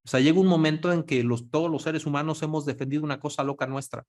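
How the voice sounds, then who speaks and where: A man's voice: a little high, fast. A man speaking as a panelist on a video call, telling a story conversationally. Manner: storytelling, quotes others in lively voices. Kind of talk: conversational talk in an online panel.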